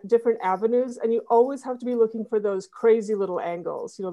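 A woman's voice: medium pitch, a little mellow. A woman who makes comedy fiction podcasts, a guest on an interview show, who talks in a rambling way with many restarts. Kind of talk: casual interview chat.

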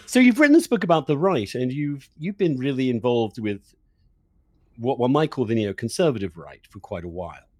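A man's voice: medium pitch, very animated, a little fast, a little mellow, no echo. A man hosting an interview in a conversational, discursive way, thinking aloud and repeating words for emphasis. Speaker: interviewer, podcast host.

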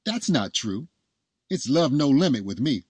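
A man's voice: medium pitch, big pitch swings, unhurried, husky, dry recording, pitched above the performer's own voice, heavily acted. Man playing an eager, cocky young husband. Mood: amorous, pleading. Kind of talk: storybook dialogue.

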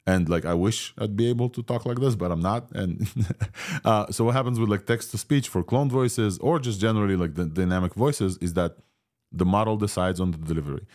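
Podcast host, man, Ukrainian Israeli accent, low pitch, rambling casual delivery full of fillers. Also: brisk, a little mellow. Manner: rambling, many fillers and restarts. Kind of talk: casual tech podcast talk.

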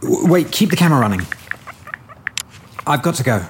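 An actor playing a harried scientist and lab boss in an audio drama, sometimes hesitant and halting. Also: male voice, fairly high pitch, sing-song. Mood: focused, brisk. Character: harried male scientist, lab boss, devoted husband-to-be-father. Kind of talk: full-cast audio drama acting.

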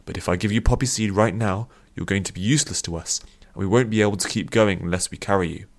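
A man voicing a calm, kindly old male healer in an audiobook, reasoning in a measured, reassuring way. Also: on the low side, close to an everyday voice.

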